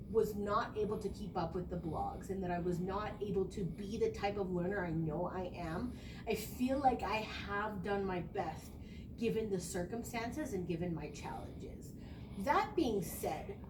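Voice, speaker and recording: A young adult woman, a student teacher, giving an earnest, conversational spoken reflection and explaining her ideas. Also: fairly high pitch. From small rural town. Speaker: education student. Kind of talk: spoken reflective class presentation. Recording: echoey room, distant mic, noisy.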